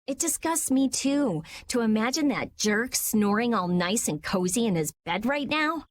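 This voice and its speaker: An actress playing a blunt, sharp-tongued but caring young girl in a video game. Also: a little high, expressive, measured pace, no echo. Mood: apologetic. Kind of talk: video game cutscene acting.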